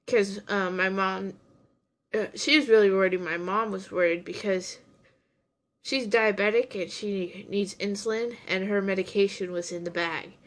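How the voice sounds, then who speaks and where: A woman's voice: medium pitch, fairly even intonation, moderate pace. Young woman with a runny nose, rambling. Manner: rambling, chatty, many restarts. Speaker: podcast host reviewing anime. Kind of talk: casual chat.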